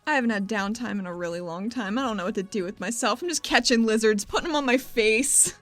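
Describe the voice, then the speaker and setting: A woman's voice: fairly high pitch, fast talker, fairly bright tone, faint music behind, natural voice. A woman playing a playful, self-deprecating young woman in a tabletop game, casual and teasing.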